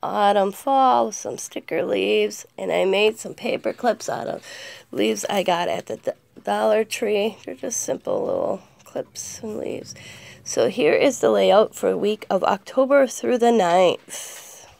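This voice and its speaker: A hoarse woman with a cold, chatting casually as she walks through her planner pages item by item. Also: mid-range voice, sing-song, a little slow, a little mellow, no room sound. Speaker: planner vlogger. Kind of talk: casual show-and-tell narration. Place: indoors at a craft desk. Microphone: camera pointed down at the desk, speaker off-screen.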